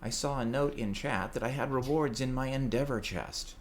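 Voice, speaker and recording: A man's voice: mid-range voice, moderate pace, slight background noise. A man reading a scripted, playful report as a podcast community correspondent. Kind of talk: scripted segment narration.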